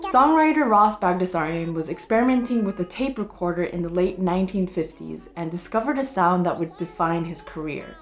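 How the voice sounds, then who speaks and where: A woman's voice: on the low side, animated, a little fast, hardly any pauses, faint music behind. A young American woman hosting with scripted educational narration. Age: young adult. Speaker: host. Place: wood-panelled room indoors.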